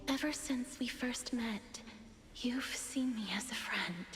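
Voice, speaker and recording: Hushed, slow, melancholy lady. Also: fairly high pitch. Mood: wistful, guarded. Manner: hushed, breathy, hesitant. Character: reserved, melancholy, soft-spoken woman of dangerous power. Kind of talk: video game voice acting. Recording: long reverb, music behind.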